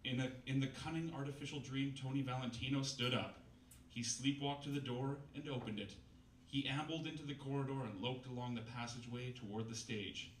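A man's voice: mid-range voice, a little fast. A man in his thirties reading a novel aloud at a live reading night, in a mock-dramatic way with wry asides. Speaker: reader at a comedy reading night. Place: small bistro stage with live audience. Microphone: stand mic, filmed from far camera. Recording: roomy, distant camera audio, faint noise.

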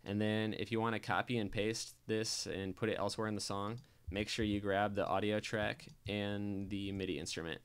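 Low, smooth-voiced man giving a tutorial. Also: flat delivery, normal speed, few pauses. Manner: informal, step-by-step instructing. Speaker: tutorial presenter. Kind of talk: casual software tutorial narration.

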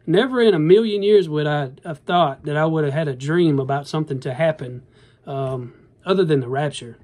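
Man in his thirties, slightly high voice, rambling folksy phone-camera vlogger. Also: moderate pace, darkish tone. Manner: rambling, earnest, folksy, addresses viewers as y'all. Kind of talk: casual talk to camera. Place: indoor bedroom. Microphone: phone camera at arm's length.

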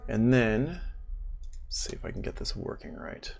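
A middle-aged man with a creaky, fry-laden voice teaches music production in a casual live demo. He is playful and mutters to himself as he works through each step. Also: mid-range voice, expressive, unhurried, a little mellow. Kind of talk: casual live software demonstration.

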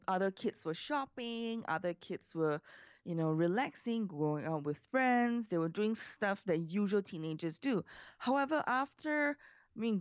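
A woman's voice: medium pitch, no echo. Chatty woman from Singapore, dull dry line. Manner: chatty, many fillers like "you know". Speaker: entrepreneur guest. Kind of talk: interview storytelling.

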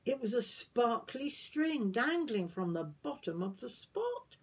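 A woman in her sixties reads a picture book aloud as a granny would to young children, adding gentle questions and asides to them. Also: fairly high pitch, very animated, measured pace, husky. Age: 60s. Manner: gentle questioning, addressing young listeners directly. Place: small wood-panelled garden room, indoors.

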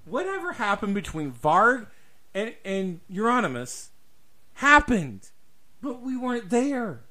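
Guy podcast host ranting his opinions, kinda high bright voice, swears, really emphatic. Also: sing-song, slow pace. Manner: emphatic, swearing, repeats points for stress. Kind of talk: opinionated casual discussion.

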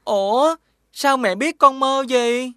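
A naive, lively cartoon schoolkid, with a high, bright voice. The speech is eager and childlike, in a Southern Vietnamese accent. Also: female voice, slow pace, no room sound, clean studio sound. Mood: confused, relieved. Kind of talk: animated children's cartoon dubbing.

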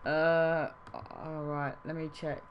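A man's voice: a little high, fairly even intonation, slow, highs cut off, background noise. A young man from Wales streaming a game trading session, chatting casually and reacting to his live chat.

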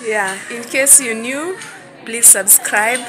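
A woman's voice: a little high, music in the background. A young woman from Kenya talking to viewers in a casual vlog, filmed outdoors on a phone held at arm's length. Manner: slow, friendly, talks straight to viewers. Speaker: vlogger. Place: outdoors in a yard beside buildings. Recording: noisy outdoor phone recording. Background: loud noise from a nearby school.

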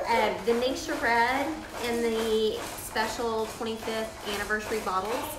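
A woman's voice: fairly high pitch, steady tone, measured pace. Woman explaining products, echoey noisy room. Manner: explanatory, hesitant with frequent ums. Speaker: co-host reviewing wellness products. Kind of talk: informal product review chat. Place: living room, sitting on a couch. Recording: echoey room with loud background noise.

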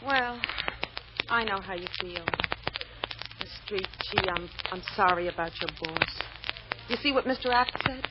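An actress plays a homely, sympathetic waitress who chats informally in a mid-pitched voice. The old radio drama recording is muffled and heavy with noise. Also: female voice, unhurried, long pauses, natural voice. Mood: sympathetic, chatty. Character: friendly, chatty coffee shop waitress, sympathetic. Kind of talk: old-time American radio drama acting.

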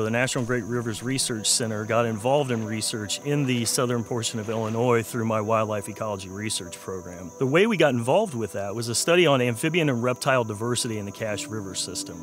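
A man's voice: medium pitch, fairly bright tone. American man explaining research over music. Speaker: wildlife ecology researcher. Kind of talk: documentary interview, explaining research. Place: outdoors in a wooded swamp. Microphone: lapel mic. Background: music, insects.

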